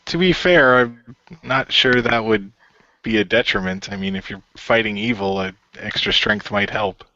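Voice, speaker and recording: A man's voice: lowish voice, moderate pace, sharp tone, no echo, highs cut off. A man playing an easygoing, hesitant and rambling young hero in an audio role-play. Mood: wry. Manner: rambling, hesitant, circling back.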